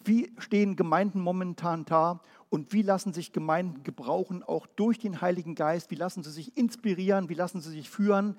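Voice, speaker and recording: A man from Berlin preaching a Pentecostal sermon, firing off rhetorical questions and then dropping quiet and low. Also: fairly quick, in a large hall. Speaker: pastor.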